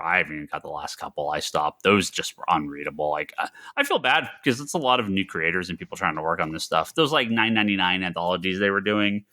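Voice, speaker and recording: A man's voice: sits low, sharp tone. A man co-hosting a casual podcast, talking in an exasperated, emphatic way, repeating himself and swearing. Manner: exasperated, emphatic, repetitive ranting with profanity. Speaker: podcast co-host. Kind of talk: casual comics podcast banter.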